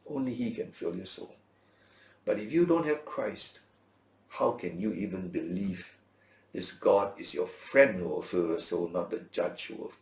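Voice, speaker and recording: A man preaching a Bible lesson as a teacher, in emphatic short phrases with rhetorical questions and a gravelly voice. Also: medium pitch, darkish tone. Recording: roomy, echoing sound.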